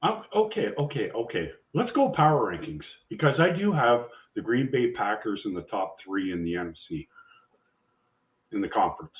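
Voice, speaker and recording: A man's voice: lowish voice, big pitch swings, moderate pace. A man with a Canadian accent, needling others in a casual sports talk show and swearing freely. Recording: tinny phone-like line.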